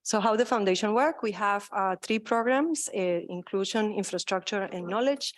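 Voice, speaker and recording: A middle-aged woman with a Colombian accent and Spanish as her first language, moderating a conference session in a halting, informal way. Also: mid-range voice, no room sound. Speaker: panel moderator. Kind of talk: conference presentation.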